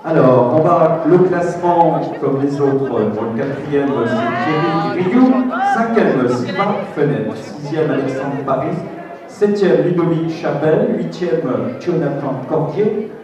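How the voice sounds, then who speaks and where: A man's voice: fairly high pitch, animated, takes it slowly. A man announcing podium results live at an amateur sports prize-giving, calling out names and asking for applause. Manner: calls out names and asks for applause. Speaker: master of ceremonies at an amateur cycling podium. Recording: very echoey hall, far off, crowd noise. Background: crowd.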